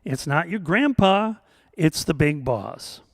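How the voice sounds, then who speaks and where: A man's voice: a little high, animated, takes it slowly, dry recording, studio quality, full character voice. A man voicing a devout, matter-of-fact older fishing buddy, wry and folksy, in a storytelling reading. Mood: wry, assured.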